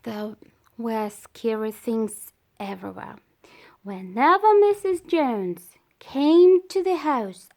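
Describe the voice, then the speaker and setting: A woman reads a children's storybook aloud in a fairly high voice. Her pace is extremely slow and careful, with pauses between short phrases. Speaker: storybook reader.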